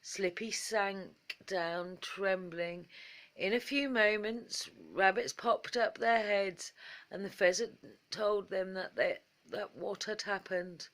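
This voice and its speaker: A woman reads a children's story for bedtime. Her delivery is slow and expressive, at a middling pitch, with each phrase set out in a measured way.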